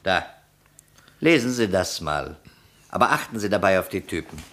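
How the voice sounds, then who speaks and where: An actor playing a skeptical, needling police detective in a crime radio play, brisk and dismissive as he questions. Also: low voice, lively intonation, a bit bright. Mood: probing, businesslike. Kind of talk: vintage German crime radio play acting.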